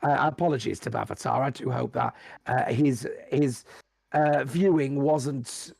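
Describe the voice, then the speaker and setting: Croaky-voiced male commentator. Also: medium pitch, unhurried, darkish tone. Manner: rambling, hesitant. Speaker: sim racing commentator. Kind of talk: casual commentary.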